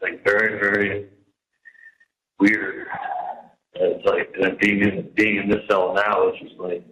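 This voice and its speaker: Low-voiced man on echoey jail phone. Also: flat delivery, pushed and bright. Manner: halting and repetitive, venting about his situation. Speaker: prisoner on a jail phone call. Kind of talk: casual, rambling personal phone conversation. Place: jail, on a telephone. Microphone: telephone handset. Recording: echoey jail telephone call.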